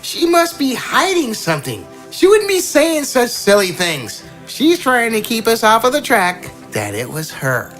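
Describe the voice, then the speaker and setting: A woman's voice: fairly high pitch, huge pitch swings, a little slow, few pauses, fairly bright tone, soft background music, full character voice. A woman playing a bossy, suspicious puppet toy in an improvised comedy audio drama. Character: bossy puppet toy quizzing the other toys.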